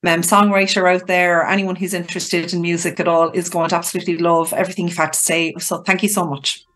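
Lowish-voiced woman in casual interview chat. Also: level tone, fast talker, fairly bright tone. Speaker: podcast co-host.

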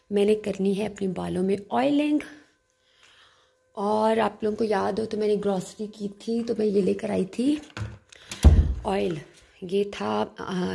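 A woman's voice: mid-range voice, creaky, husky. Chatty woman with a sore throat. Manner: chatty, warm-hearted, addressing viewers as friends. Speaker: vlogger. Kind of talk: casual home vlog voice-over. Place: home, indoors.

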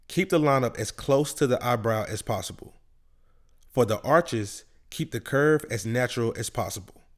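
Male barber instructor narrating a tutorial. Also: mid-range voice. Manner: plain, instructional. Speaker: barber instructor and channel host.

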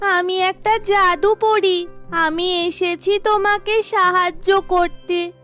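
Kindly fairy messenger played by a woman, very high voice, slow benevolent delivery, children's cartoon, music underneath. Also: fairly bright tone, heavily acted. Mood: solemn, kind. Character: kindly magic fairy, female messenger of God, testing a boy.

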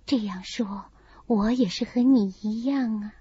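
A woman voicing a sensible, kindly young lady, a soothing older cousin, measured and composed, in a dramatised classical novel audiobook. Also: fairly high pitch, warm tone, slightly rough, dry recording, highs cut off, voice pushed down. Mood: gentle.

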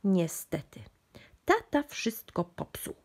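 A woman's voice: mid-range voice, wildly swooping pitch, slow, husky. A woman narrating a bedtime storybook expressively for young children.

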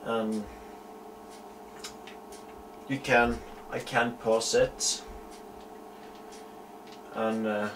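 A young Norwegian man speaks slowly and haltingly, full of fillers and restarts. He sounds like he is recorded on a handheld camera mic in a small room at home. A faint hum and clicks sit behind his voice. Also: fairly low pitch, level tone, a bit bright, low-quality recording. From Norway. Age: young adult. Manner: halting, frequent fillers and self-corrections. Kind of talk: hobbyist electronics demo.

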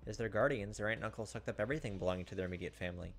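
A man in his thirties reads a visual novel aloud. His voice is fairly low and he moves along briskly, with faint music behind him. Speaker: gaming video host. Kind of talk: reading visual novel text aloud with casual asides. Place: indoors, recording at a computer. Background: faint game music.

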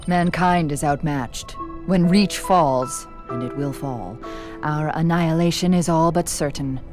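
An actress playing a grave, imperious older female scientist in a video game, with measured, formal, authoritative diction. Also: sits low, lightly acted. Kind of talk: video game cutscene acting. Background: orchestral game music.

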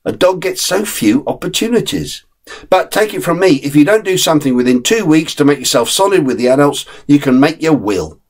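A man's voice: on the high side, sing-song, a little fast, pitched above the performer's own voice. A man voicing a friendly, streetwise male terrier who gives blunt, knowing advice.

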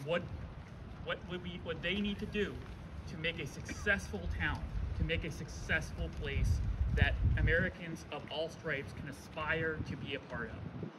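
A man on a town board giving a halting public speech at an outdoor commemoration, with many restarts. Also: on the high side, far from the mic, very noisy. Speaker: select board member.

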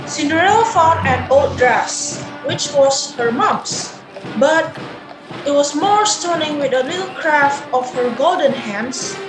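A young woman narrating a storybook tale from a script. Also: high-pitched. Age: young adult. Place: indoors on a video call. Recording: roomy echo, background music.

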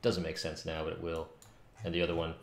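Low, gravelly man thinking aloud. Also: completely flat delivery, fast talker, slight background noise. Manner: muttering to himself in fragments. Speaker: game developer live streamer. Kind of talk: casual live-coding stream, thinking aloud. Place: small home room, indoors. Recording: echoey room with loud keyboard clicking. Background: typing and mouse clicks.

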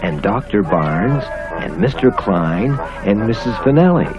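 An American man narrating a children's story in a theatrical, expressive way. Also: lowish voice, unhurried. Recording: muffled old cartoon soundtrack with music.

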